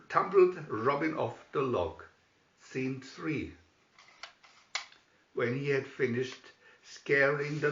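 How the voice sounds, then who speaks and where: A man narrates a storybook dramatically, taking his time. His fairly high voice rises and falls widely, and the recording is dull and echoey. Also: vocal fry, a bit bright. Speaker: storybook reader. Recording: dull, roomy home recording.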